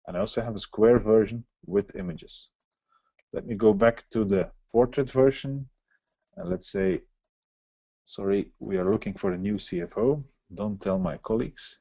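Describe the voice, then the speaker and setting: Man for a webinar software walkthrough; explanatory, mellow tone, dry close sound. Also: fairly low pitch, no echo. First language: Dutch. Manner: explanatory, walks through on-screen steps. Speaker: software presenter. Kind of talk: live software demo webinar.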